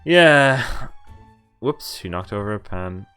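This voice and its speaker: A man's voice: on the low side, lively intonation, takes it slowly, pushed and bright, clear, resonant tone, soft background music. A man giving casual, amused and incredulous live commentary on a visual novel game, reading some of its text aloud. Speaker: game streamer.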